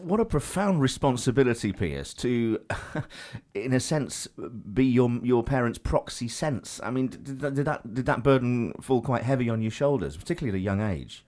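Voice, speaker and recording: A man presenting a radio show, his pitch swinging up and down as he talks. He trips over his words here and there and laughs between questions. He sounds like a breezy morning-show host. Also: expressive, moderate pace, a little mellow. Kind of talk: radio interview.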